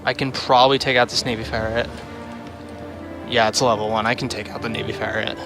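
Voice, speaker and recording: A man's voice: on the low side, little pitch movement, quick pace. Man rambling over game music. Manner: rambling, thinking aloud. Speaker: game developer livestreamer. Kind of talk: casual gameplay livestream commentary. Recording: music behind the voice.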